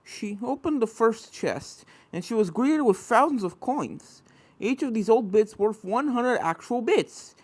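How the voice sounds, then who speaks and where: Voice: mid-range voice, animated, measured pace, dry recording. A reader giving scripted narration of a fan fiction story. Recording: game music behind the voice.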